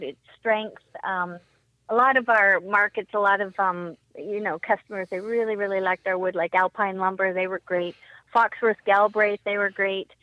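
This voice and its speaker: A woman's voice: mid-range voice, sharp tone, no room sound, poor audio. A woman chatting as a guest on a talk show, friendly and full of ums. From Canada. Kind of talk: casual chat.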